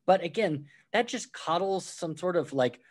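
A man's voice: high-pitched, animated, moderate pace. A man who wrote a book on restaurant management, talking it through as a podcast guest and walking through examples step by step. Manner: explains with worked examples, acts out quoted replies. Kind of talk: conversational podcast interview.

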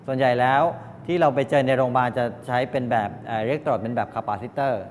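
An older man giving a classroom lecture as a teacher, explaining in a halting way with frequent polite particles. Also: steady tone. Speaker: technical college lecturer. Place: medium-sized indoor classroom with students. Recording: reverberant room, clear background noise, low rumble.

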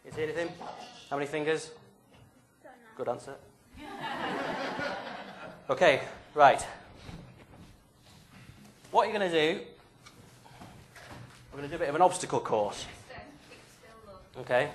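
A young man talks to a youth group in a small church hall, holding a handheld mic. His voice sits a little high, and he keeps a playful, joking tone like a game-show host. A noticeable hiss and room noise run underneath. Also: a little slow, pauses often. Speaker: youth leader. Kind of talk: lively talk to a youth group. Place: small church hall with stage and drum kit.

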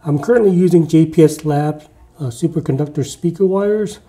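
A mellow-voiced man in his forties talks through a speaker review at an easy pace, with plenty of uh's and restarts. He's filmed at chest height in a bare, echoey living room with no carpeting. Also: fairly high pitch, moderate pace. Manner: relaxed, chatty, with frequent uh fillers and restarts. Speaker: audio reviewer. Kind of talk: casual product review.

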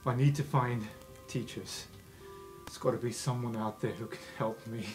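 A man's voice: mid-range voice, steady tone, normal speed, music in the background, lightly acted. A man playing a stern, exasperated older male professor of magic in a tabletop game. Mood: urgent, commanding. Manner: commanding, exasperated, clipped.

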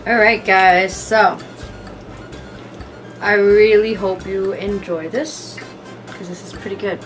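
A woman's voice: medium pitch, steady tone, slow, soft background music. A young woman with a stuffy, congested voice from a cold, talking casually through a cooking tutorial in her home kitchen, with slang and fillers. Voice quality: chatty, laid-back, sprinkles in slang and fillers. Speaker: cooking vlogger.

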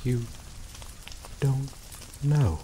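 A young man voicing an obsessive, possessive male lover in an ASMR roleplay, speaking slowly and softly with dark chuckles. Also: mid-range voice. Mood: obsessive, tender. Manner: slow, soft-spoken, dwelling on words with dark chuckles. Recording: steady rain noise behind voice. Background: rain falling.

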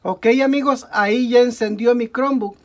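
A man's voice: very high voice, moderate pace. Young Mexican man, tech tutorial host, narrates hands-on steps with self-corrections, bench mic sound. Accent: Mexican Spanish. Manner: talks through each step while working, frequent "ok, amigos" and self-corrections. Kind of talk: step-by-step repair tutorial. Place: indoor workbench at a desk.

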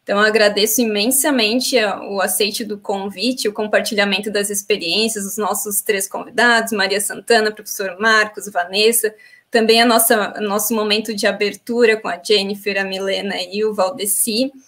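A woman's voice: mid-range voice, fairly even intonation, moderate pace, a bit bright. A young woman moderating an online panel, delivering fluent closing thanks to guests and viewers. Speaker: event moderator.

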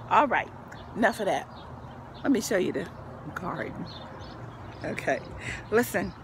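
A woman's voice: medium pitch, slow pace, pauses often, background noise. An older woman who says she is losing her voice gives an upbeat, preachy tour of her backyard garden, filmed outdoors on a handheld phone. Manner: lively, preachy encouragement with church phrases. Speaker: vlogger. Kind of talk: casual home video tour.

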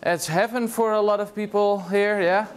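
A man's voice: sits high, fairly even intonation, moderate pace. A middle-aged man from the Netherlands with a Dutch accent, giving a casual university lecture in a large hall and checking in with "yeah?" as he goes. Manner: casual, chatty, tags remarks with "yeah?". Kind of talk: classroom lecture.

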